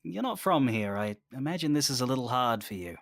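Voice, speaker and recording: A man's voice: medium pitch, very animated, gravelly, a bit bright, no echo, studio quality. Man playing a polite, swoopy-voiced creature jailer. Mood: reassuring. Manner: courteous, deferential, halting. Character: polite, easygoing tailed creature working as jailer. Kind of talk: audio drama acting.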